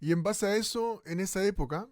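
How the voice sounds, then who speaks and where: A man acts a male radio host presenting a live broadcast in a radio play. His voice sits a little high, recorded dry, and he is polite and lightly amused with his guest. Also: brisk, a little mellow, no room sound, close to an everyday voice. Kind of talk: radio drama played as a live broadcast interview.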